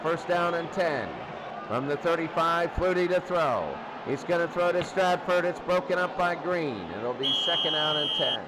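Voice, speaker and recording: A man calling football play-by-play, getting excitable when the action picks up. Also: fairly high pitch, moderate pace. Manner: excitable on big plays. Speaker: play-by-play sports commentator. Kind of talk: American football game commentary. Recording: clear background noise.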